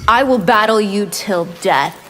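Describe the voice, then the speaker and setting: A woman's voice: mid-range voice, measured pace, very noisy, lightly acted. An actress playing a haughty, menacing winged vampire woman in a fighting video game. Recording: loud music behind the voice.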